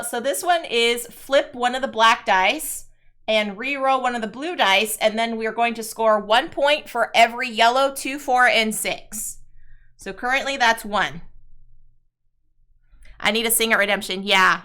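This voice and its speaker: A woman chatting with her viewers while explaining a solo card game on a live stream, her voice slightly raspy before her morning tea. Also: a little high, a little slow, pushed and bright. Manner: chatty, talks to chat viewers, sings playfully. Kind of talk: casual live-stream commentary.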